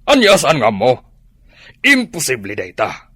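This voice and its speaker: A man's voice: medium pitch, huge pitch swings, unhurried, bright, forceful tone, husky, no echo, exaggerated voice. A man playing a domineering middle-aged sugar daddy in a radio drama, callous, scornful and emphatic. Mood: scornful, angry.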